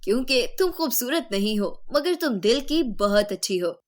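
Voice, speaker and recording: A woman's voice: on the high side, expressive, normal speed, natural voice. A woman voicing a kind, earnest young man who speaks in a reassuring way.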